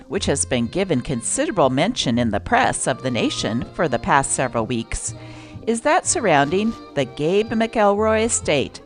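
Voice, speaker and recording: A woman host reading vintage newspaper stories aloud as scripted narration, with deliberate, expressive phrasing. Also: low voice. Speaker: channel host and newspaper reader.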